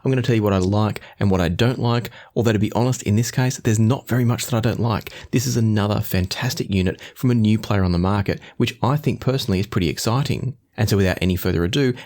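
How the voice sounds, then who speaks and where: Fast-talking man reviewing audio gear. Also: lowish voice, darkish tone. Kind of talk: product review to camera, explanatory.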